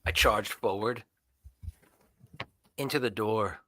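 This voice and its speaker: A man's voice: on the low side, slow pace, pushed and bright, slight background noise. A man narrating his own actions in a tabletop roleplay game, theatrical and intense. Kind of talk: dramatic first-person roleplay narration.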